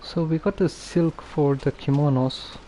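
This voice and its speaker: A man giving casual game commentary as a streamer, musing in a halting, hesitant way. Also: fairly high pitch, steady tone, warm tone, a little hiss or hum.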